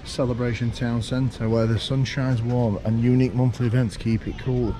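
A young man giving a relaxed, chatty walking tour outdoors, pointing things out as he goes, recorded on a handheld camera. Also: medium pitch, moderate pace, noisy background. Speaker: vlogger. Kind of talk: casual walking tour commentary. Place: outdoors walking around a lakeside town.

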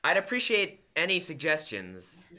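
A young man plays a put-upon husband from an old radio comedy. His bright, forceful voice swings widely up and down, staying polite through his exasperation. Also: fairly high pitch, wildly swooping pitch, a little slow, full character voice. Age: young adult. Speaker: high school student actor. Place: school library room, live staged radio show. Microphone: shared stand microphone.

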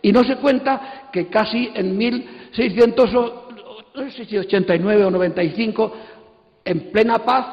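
A man's voice: high-pitched, a little mellow. An older man giving an impassioned, emphatic, digressive talk on a conference stage into a handheld microphone. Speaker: panel speaker, comics artist. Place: auditorium stage. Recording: echoey hall PA, muffled.

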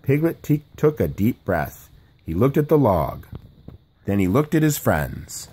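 A man narrates a children's storybook. His reading is expressive, with widely varied pitch and a husky edge. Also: unhurried. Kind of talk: children's storybook read-aloud.

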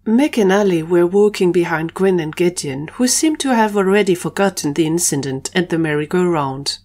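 A woman with a slightly low voice acts as narrator. She reads scripted prose for an audio drama. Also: animated, moderate pace, darkish tone.

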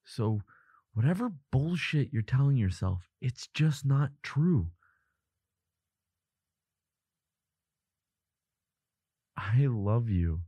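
A man acting a devoted, protective boyfriend in an intimate audio roleplay, speaking softly in halting, earnest reassurance. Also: medium pitch, very animated, breathy edge, dry recording, heavily acted. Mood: earnest, gentle.

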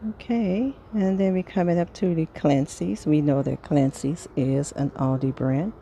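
A woman casually reading through a sales flyer item by item, pausing between phrases in an unhurried way. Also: sits low, steady tone, creaky, warm tone, a little hiss or hum. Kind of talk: casual product read-through.

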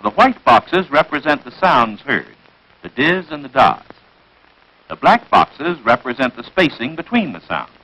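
A man narrates a 1940s military instructional film with an American accent. His delivery is crisp and declarative, with animated swings in pitch and a forceful, slightly husky edge. The sound is that of a vintage film soundtrack. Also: unhurried, low-quality recording.

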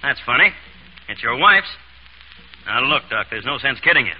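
An actor playing a pushy, accusing police investigator in a 1930s radio drama, blunt and husky. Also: male voice, medium pitch, sing-song, moderate pace. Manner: blunt, hard-pressing. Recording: muffled old radio broadcast.

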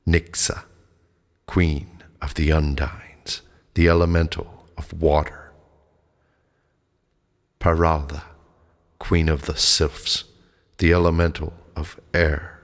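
A man reading scripted audiobook narration in a measured, deliberate way. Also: rumbling low, level tone.

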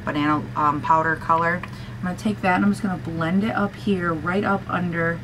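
A raspy young woman in her twenties chats her way through a makeup tutorial in a small room at home, gushing over colors and teasing herself. Her voice sits a little low, and a faint hiss runs under her close camera mic. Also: fairly even intonation, fairly quick. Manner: gushing and chatty, talks to herself. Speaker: beauty vlogger. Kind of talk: casual makeup tutorial chat.

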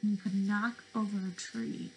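A young adult woman reading interactively to small children as a teacher, expressive and sing-song, with questions and pauses. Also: medium pitch, creaky, slight background noise, poor audio. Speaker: speech-language pathologist presenting to preschoolers. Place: bedroom, indoors.

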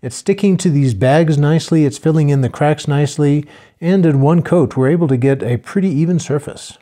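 An older man calmly narrating his own building project in a plain, matter-of-fact voice-over. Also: on the high side, a little fast. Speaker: homesteader narrating a build video.

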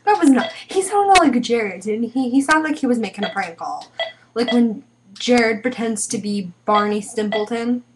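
A woman's voice: fairly high pitch, expressive, normal speed. A teenage young woman chatting casually, recorded on a webcam microphone in a room at home. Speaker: vlogger making prank calls. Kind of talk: casual chat. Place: small room indoors at home. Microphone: webcam microphone at arm's length. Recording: noisy webcam microphone, clicks.

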